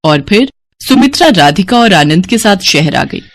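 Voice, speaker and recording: A woman's voice: lowish voice, big pitch swings, normal speed. A woman storyteller delivering scripted narration for a moral bedtime story.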